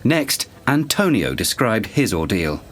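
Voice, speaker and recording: An actor playing a sober investigative reporter, narrating a grim exposé gravely and deliberately in an audio drama. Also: male voice, on the low side, very animated.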